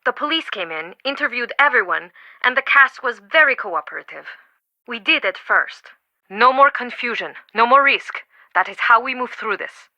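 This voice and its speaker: A woman's voice: a little high, animated, moderate pace, sharp tone, no room sound, highs cut off, exaggerated voice. An actress playing an earnest, devoted and easily affronted woman museum curator in a video game, with dramatic, emphatic delivery. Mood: grave, urgent.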